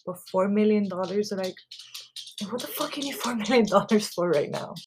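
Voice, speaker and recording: A woman's voice: mid-range voice, normal speed, background noise. Chatty thirties woman gossip podcaster. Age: 30s. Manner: chatty, full of "like" fillers and casual swearing. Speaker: podcast host. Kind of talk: casual gossip podcast monologue.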